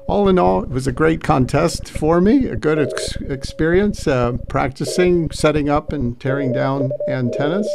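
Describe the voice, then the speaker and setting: A man giving an informal vlog narration as a radio hobbyist, speaking in halting, hesitant phrases. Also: mid-range voice, gravelly, heavy background noise. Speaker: amateur radio operator recounting a contest weekend.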